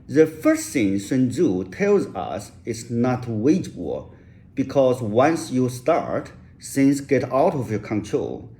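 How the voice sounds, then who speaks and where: Slow, careful man. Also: mid-range voice, dark tone. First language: Chinese. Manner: slow, careful delivery with occasional restarts. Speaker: author giving a lecture. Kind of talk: rehearsed English lecture.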